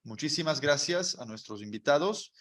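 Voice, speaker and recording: A man's voice: fairly high pitch, expressive, moderate pace, a bit bright. Man formally introducing guest speakers. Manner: formal, reading out credentials with brief fillers. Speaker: course host.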